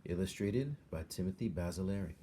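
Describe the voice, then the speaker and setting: A man's voice: low voice, animated, a little fast, dark tone, slight background noise. A man reading a storybook aloud as a dramatic narrator. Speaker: storybook reader.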